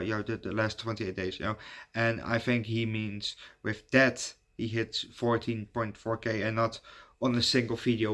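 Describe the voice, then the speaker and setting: A Dutch man, native Dutch speaker, hosting his channel in halting casual chat full of fillers and restarts. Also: fairly low pitch, a bit bright. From Netherlands. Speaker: YouTube reviewer giving shout-outs.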